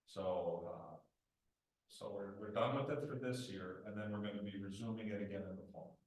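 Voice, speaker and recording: A man serving as the village marshal, giving a plain, halting report at a committee meeting with many fillers and a loud voice. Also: lowish voice. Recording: very echoey room, voice from far off.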